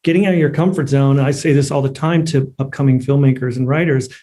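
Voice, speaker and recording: A man's voice: mid-range voice, fairly even intonation, fast talker, dark tone. A man moderating a panel conversationally, encouraging the guests and affirming what they say. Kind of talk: conversational hosting.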